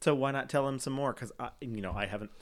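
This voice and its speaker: A man's voice: medium pitch, quick pace. A man co-hosting a casual podcast, teasing and laughing often between fillers. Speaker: podcast co-host. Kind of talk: casual chat.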